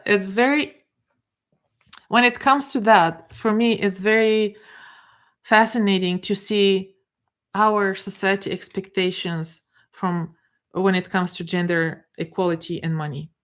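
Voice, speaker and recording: Slow-spoken Bulgarian-accented woman. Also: medium pitch, fairly even intonation. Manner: unscripted, halting, many repeated words and restarts. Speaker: coach. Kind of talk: live talk.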